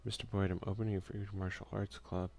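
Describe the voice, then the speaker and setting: A soft-spoken man doing an ASMR let's play, reading game text aloud and commenting in a hushed voice that at times slips into a whisper. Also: low voice, little pitch movement, quick pace, gravelly, a little hiss or hum. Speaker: gaming video creator and player.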